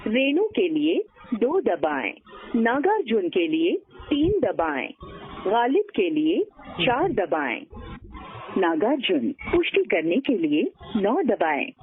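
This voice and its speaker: A woman's voice: mid-range voice, very animated, slow pace, soft background music. A woman reading scripted automated phone menu prompts in a formal, instructional manner over a phone line. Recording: tinny band-limited phone line recording.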